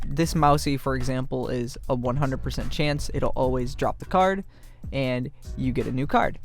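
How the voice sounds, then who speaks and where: A man talking casually as a game developer, explaining things in a rambling devlog commentary. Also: mid-range voice. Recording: music behind the voice. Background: background music.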